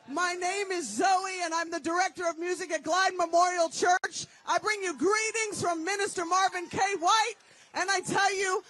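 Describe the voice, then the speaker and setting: A woman's voice: extremely high pitch, a bit bright. A woman directing church music, speaking to an outdoor rally and then leading the crowd in song, with a rousing manner. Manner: rousing, leads crowd in call-and-response singing.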